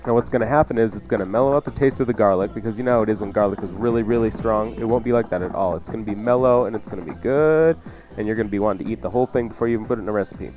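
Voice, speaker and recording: A man's voice: on the low side, racing, darkish tone. A man hosting a cooking show on the radio, chatting casually and jokingly as he gives folksy recipe instructions. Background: music under the talk.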